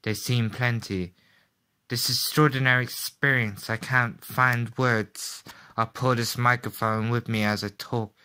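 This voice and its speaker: Male actor as radio play reporter, eyewitness commentary, dramatic, halting, bright tense tone, medium pitch. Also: smooth. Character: excitable male radio reporter, live eyewitness, awed and horrified.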